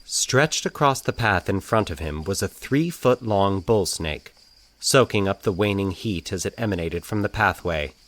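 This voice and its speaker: A man narrating scripted eerie fiction in a measured, deliberate, formal storytelling manner. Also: deep voice, expressive, a bit bright.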